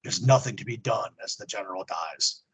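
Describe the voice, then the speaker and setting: A man's voice: on the low side, normal speed, fairly bright tone, husky. A man hosting a podcast, speaking in a dramatic scripted style as he narrates and reviews. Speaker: podcast host.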